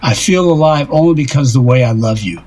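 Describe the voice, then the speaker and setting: An actor playing a lovesick, self-deprecating older married man in an audio drama, rambling wistfully and haltingly in a husky voice. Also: medium pitch, animated, darkish tone, slight background noise, lightly acted. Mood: adoring.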